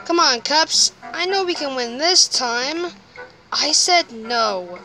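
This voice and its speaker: A woman with a high, bright voice acts out cartoon characters in an amateur comic dub. Her delivery is slow, and music plays under the voice. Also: animated, rough recording.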